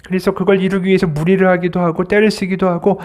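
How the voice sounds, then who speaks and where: A man's voice: high-pitched, little pitch movement, fast talker, darkish tone. A man, an associate pastor, giving a Sunday sermon, explaining scripture and asking the congregation rhetorical questions.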